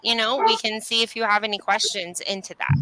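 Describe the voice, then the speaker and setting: A woman's voice: medium pitch, steady tone, brisk, background noise. A woman explaining things earnestly in a conversational coaching style on a video call, often checking in with the listener. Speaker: human design reader and coach. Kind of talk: conversational coaching on a live stream.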